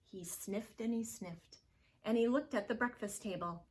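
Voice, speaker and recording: A woman's voice: a little high, unhurried, slight background noise. A woman preschool teacher reading a picture book aloud expressively, speaking directly to small children.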